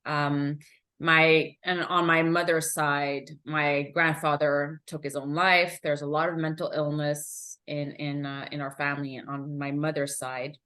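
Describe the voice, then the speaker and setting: A woman's voice: low-pitched, flat delivery, unhurried, sharp tone. A woman from Montreal whose first language is French, talking candidly as a guest coach in an interview, with fillers and restarts. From Montreal, Quebec, Canada. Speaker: guest, emotional intelligence coach. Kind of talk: conversational interview.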